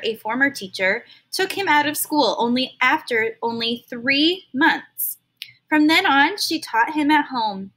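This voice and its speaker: A woman reads a children's storybook aloud in a fairly high, bright, clear voice. She reads expressively and pauses to explain harder words to young listeners in plain, simple language, the way a teacher would.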